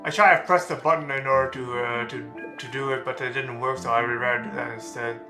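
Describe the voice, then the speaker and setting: A man's voice: medium pitch, steady tone. A male streamer chats casually as he plays, rambling and stopping partway through his thoughts. His voice sits in an echoey room, with game music playing behind it. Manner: rambling, halting commentary. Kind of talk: casual gameplay commentary.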